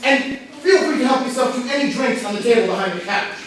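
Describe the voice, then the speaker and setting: Stiff, prim butler for a stage comedy male actor, very high pitch, heard in a very echoey space. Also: animated, fairly quick, a bit bright. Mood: formal, guarded. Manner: formal, prim, dryly disapproving. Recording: very echoey, distant mic, slight noise.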